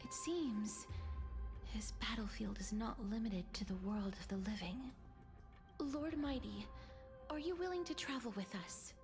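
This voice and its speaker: Actress as soft, high-voiced, resolute young heroine. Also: female voice, natural voice. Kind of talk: dramatic video game voice acting.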